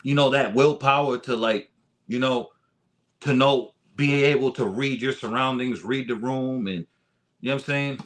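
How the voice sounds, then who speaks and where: A man's voice: medium pitch, fairly even intonation, moderate pace, a bit bright. An American man hosting a casual interview in an encouraging way, often repeating his phrases for emphasis. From United States, Rhode Island and San Diego. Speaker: interview host.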